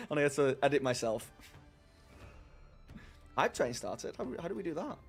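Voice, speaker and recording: A man's voice: fairly high pitch, big pitch swings, moderate pace, vocal fry, slightly rough, soft background music. A man casually chatting on his live stream and answering viewers, rambling and self-deprecating, laughing often. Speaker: streamer hosting a live chat.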